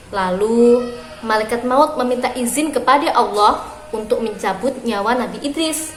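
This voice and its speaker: A woman reading a story aloud for young children, phrasing expressively and deliberately with pauses. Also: fairly high pitch. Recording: roomy echo with clear background noise.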